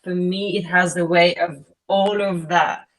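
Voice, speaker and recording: A woman's voice: fairly low pitch, fairly even intonation, measured pace, a bit bright. A woman whose first language is Persian, talking casually with many fillers on a discussion panel. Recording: distant microphone.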